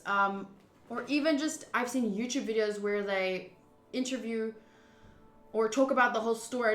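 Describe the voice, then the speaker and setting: A woman's voice: fairly high pitch, sharp tone, roomy sound. A woman streamer doing a game playthrough, reading text aloud and adding casual commentary.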